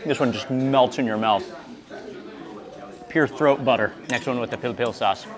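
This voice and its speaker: Excited man vlogging a restaurant meal. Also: medium pitch, moderate pace. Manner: enthusiastic, savoring with hums and exclamations. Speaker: food vlogger. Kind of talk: excited food vlog narration. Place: restaurant dining room and outdoor grill. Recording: busy restaurant crowd behind. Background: restaurant crowd chatter.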